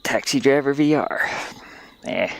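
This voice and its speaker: A man casually thinking out loud in an offhand, muttering way as he works through game development on screen. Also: mid-range voice, unhurried, vocal fry, no room sound, rough recording. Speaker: indie game developer, streamer. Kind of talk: casual think-aloud screen-share commentary while working.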